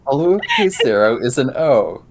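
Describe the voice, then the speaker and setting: A man's voice: huge pitch swings, moderate pace, crowd noise. A man narrating and role-playing his character in a tabletop role-playing game. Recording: low rumble and background noise.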